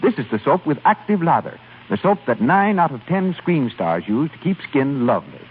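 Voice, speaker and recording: Vintage male commercial announcer, scripted, animated intonation, husky edge, tinny old radio sound. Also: a little high, moderate pace. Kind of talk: scripted 1930s radio advertisement. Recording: tinny 1930s radio broadcast.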